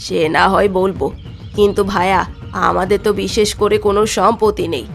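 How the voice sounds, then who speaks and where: A woman as a creaky-voiced, caring elder sister. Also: medium pitch, steady tone, a little fast, faint music behind, natural voice. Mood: earnest, questioning. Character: caring, worried elder sister in a village family. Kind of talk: Bengali fairy-tale cartoon dialogue.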